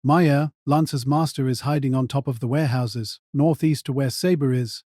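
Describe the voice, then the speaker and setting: A man's voice: mid-range voice, steady tone, normal speed, warm tone, dry recording, studio quality, natural voice. A man playing a cold, calculating sniper who gives terse, controlled instructions. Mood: focused, calculating.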